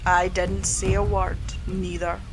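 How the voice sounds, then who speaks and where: A woman reading a scripted horror story in a theatrical, measured way. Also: fairly low pitch, little pitch movement, over music. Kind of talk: scripted dramatic horror narration. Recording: clear background noise, ambience.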